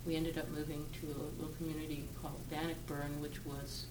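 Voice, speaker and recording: Older Southern woman with a Virginia accent, low voice, reflective storyteller, miked from a distance in a roomy, noisy space. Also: little pitch movement. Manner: reflective, frequent "uh" pauses, laughs easily. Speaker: interviewee. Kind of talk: oral history interview.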